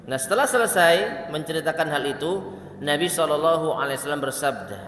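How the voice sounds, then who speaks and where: Male preacher, fairly high voice, slow Arabic recitation, brisk explanations, echoey hall. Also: lively intonation, fairly bright tone. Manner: recites Arabic slowly, then explains it in quick asides. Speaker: Islamic preacher. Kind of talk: religious study lecture.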